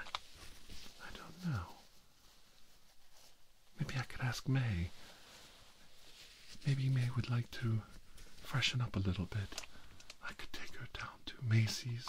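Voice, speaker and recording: A man voicing a middle-aged mad scientist villain, grandiose and obsessive, muttering haltingly to himself in an ASMR roleplay monologue. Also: mid-range voice. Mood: muttering, puzzled, then infatuated.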